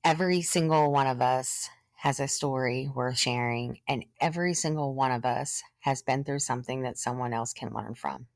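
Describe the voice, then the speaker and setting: A woman in her thirties, a podcast host sharing her own story in an earnest, measured way, sometimes short of breath and pausing. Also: extremely low pitch, dry recording. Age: 30s. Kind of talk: personal testimony monologue.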